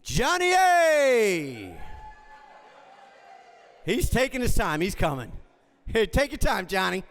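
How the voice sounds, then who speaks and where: A man hosting a comedy show, loudly hyping up the crowd with a shouted stage introduction. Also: high-pitched, huge pitch swings, slow.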